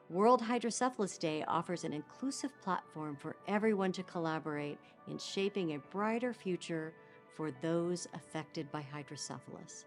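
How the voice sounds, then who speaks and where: Low-voiced woman reading a formal announcement. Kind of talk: scripted awareness narration.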